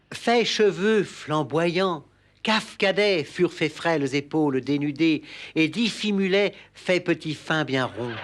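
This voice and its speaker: Comedy sketch: pompous lisping poetry reader, high pitch, slow, solemn delivery. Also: male voice. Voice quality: muddled sibilants, lisp-like impediment. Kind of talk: theatrical comic poetry recital.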